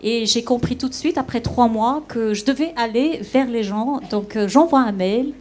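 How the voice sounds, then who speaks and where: A woman's voice: on the high side, normal speed, warm tone. A woman from Belgium, a consultancy founder, telling her story candidly with self-mocking humour. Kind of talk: spontaneous testimony.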